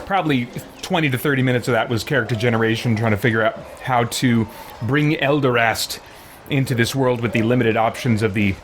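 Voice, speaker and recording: A man's voice: mid-range voice, a little fast, noisy background. A man hosting a game livestream in casual chat, rambling and thinking aloud as he goes. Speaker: live streamer hosting a game playthrough.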